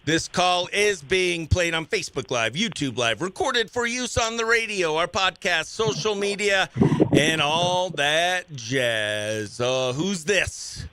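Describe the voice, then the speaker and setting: A man's voice: high voice, expressive, slow, sharp tone, clean tone. A man hosting a live morning radio show, chatting exuberantly and slangily as he runs giveaways and phone-in games.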